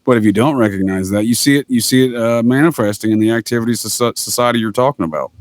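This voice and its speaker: A man's voice: medium pitch, fast, no echo. A middle-aged man talks as a podcast guest in a mid-range voice. He is opinionated and emphatic, rambling through his points in casual, salty language. The sound is very dry, with little room on it. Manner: emphatic, rambling, swears freely. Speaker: podcast guest, retired military master sergeant.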